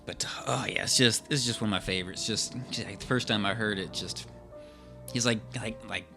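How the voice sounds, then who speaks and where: A man's voice: on the low side, a little fast, vocal fry. A man co-hosting a podcast, chatting casually in a rambling, repetitive, informal way. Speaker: podcast co-host. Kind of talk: casual chat about old radio horror. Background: faint music.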